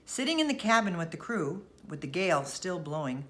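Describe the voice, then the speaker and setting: A woman narrates a bedtime story in a slightly low, bright voice. She reads deliberately and expressively, with wide swings of pitch. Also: very animated.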